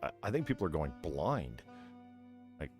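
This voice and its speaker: A man hosting a livestream, chatting casually and profanely, with a rambling, halting way of telling things. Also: rumbling low, very animated, darkish tone, faint music behind. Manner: rambling, halting, stop-and-start storytelling. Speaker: show host reading out donations.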